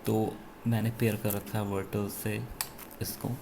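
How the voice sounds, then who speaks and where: A man's voice: on the low side, little pitch movement, a little slow, gravelly, noisy background. A man with an Indian accent gives a casual, unscripted product review, explaining things and mixing English phrases into his speech. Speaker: gadget reviewer.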